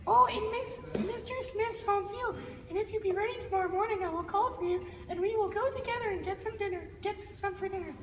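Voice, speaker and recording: A man voicing a hungry, blustering storybook wolf who coaxes and threatens in loud, drawn-out falsetto calls. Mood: coaxing. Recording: noisy, echoey hall recording.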